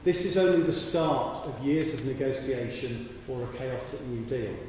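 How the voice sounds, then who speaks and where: A man delivers a brisk, assertive campaign pitch at a mid-range pitch. He sounds distant from the mic. Heavy echo from the hall and audible hiss surround him. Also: darkish tone. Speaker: parliamentary candidate. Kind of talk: hustings speech. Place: large church hall.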